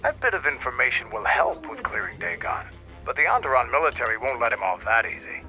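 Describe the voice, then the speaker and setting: A man's voice: medium pitch, big pitch swings, a little fast, dull audio, soft background music. A man playing a blunt, disdainful war veteran in a video game, clipped, through a radio-like filter. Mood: blunt, appraising. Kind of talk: video game character dialogue.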